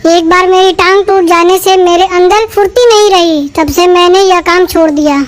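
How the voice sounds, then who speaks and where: A performer voicing a small, earnest male rabbit who is eager to help in an animated moral story. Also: very high voice, moderate pace. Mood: earnest, thoughtful. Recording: music behind the voice.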